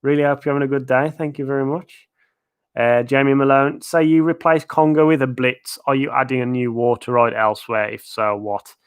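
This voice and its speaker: A man's voice: mid-range voice, flat delivery, brisk, clear, resonant tone. A man hosting a live-stream Q&A about theme parks, chatty and rambling with lots of fillers and chuckles, his voice getting worn. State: losing his voice. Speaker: theme park video creator. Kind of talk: live-stream Q&A, casual chat. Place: at home, in his living room.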